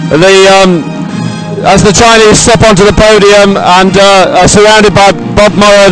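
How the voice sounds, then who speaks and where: High-voiced man commentating on a podium. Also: poor audio. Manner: chatty, rambling with many fillers. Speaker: sports commentator. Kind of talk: live sports commentary. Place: outdoors at a medal podium.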